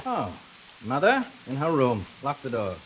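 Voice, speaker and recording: An actor playing an unhinged fugitive intruder in a 1940s radio thriller, halting and falsely polite as he threatens. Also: mid-range voice, wildly swooping pitch, fairly bright tone. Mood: mock-polite menace. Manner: mock-polite, halting, with sudden contempt. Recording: hissy vintage 1940s radio recording.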